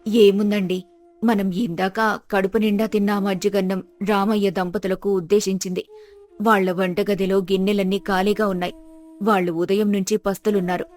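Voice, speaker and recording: A compassionate village wife from a cartoon moral story, acted by a woman. She speaks at a middle pitch with earnest, emotional phrasing. Soft music plays behind her. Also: close to an everyday voice. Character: compassionate, heartfelt village wife, visiting guest.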